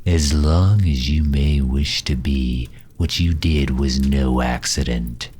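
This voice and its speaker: A man playing a grave, haughty dark knight who absorbs souls, speaking formally and deliberately with a deep, breathy, husky voice in an audio roleplay. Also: full character voice. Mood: scornful, grave. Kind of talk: slow dramatic audio roleplay monologue.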